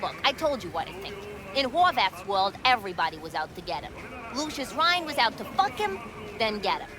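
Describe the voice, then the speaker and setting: An actress playing a sarcastic, foul-mouthed market food vendor in a video game, blunt and slangy. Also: female voice, mid-range voice, sing-song, fairly quick, lightly acted. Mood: scornful. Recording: music behind the voice.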